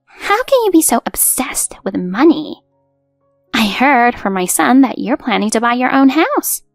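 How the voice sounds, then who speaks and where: A woman's voice: on the high side, very animated, measured pace, no room sound, clean studio sound, faint music behind. A woman voicing a greedy, bullying older mother-in-law, haughty and scolding, in a narrated story. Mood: scornful, indignant. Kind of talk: scripted story dialogue.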